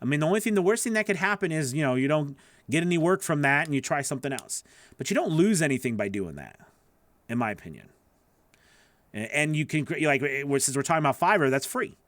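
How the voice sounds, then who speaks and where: A man answers viewer questions on a casual livestream, his voice sitting a little high. He rambles, restarts and leans on filler phrases. The sound is close and dry, with no room echo. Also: very animated, fast talker, a bit bright. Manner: rambling, self-correcting, lots of you know. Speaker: voice-over coach answering live questions.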